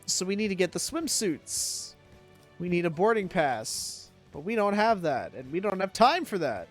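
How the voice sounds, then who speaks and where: A woman's voice: lowish voice, big pitch swings, normal speed. Young woman streamer, jokey and rambling. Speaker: game streamer. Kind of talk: casual live game commentary. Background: video game music.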